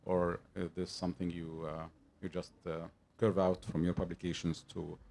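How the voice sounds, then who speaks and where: A man from Egypt in the audience asks a long, winding question. His very deep voice has a gravelly edge, and he halts often on filler sounds as he talks. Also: flat delivery, darkish tone. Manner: halting, many uh fillers. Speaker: audience member.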